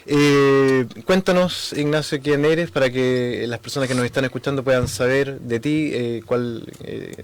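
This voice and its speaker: Male radio host, halting delivery. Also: medium pitch, steady tone, a little hiss or hum. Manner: hesitant, many fillers. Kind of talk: radio interview. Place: radio studio.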